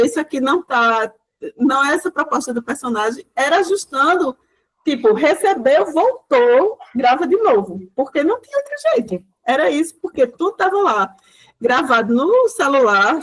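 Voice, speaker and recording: A woman's voice: high-pitched, poor audio. A woman from telling stories in a classroom talk, full of fillers and acted-out quotes. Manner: lively, many fillers, acts out quoted speech. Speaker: guest lecturer. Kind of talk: animated classroom storytelling.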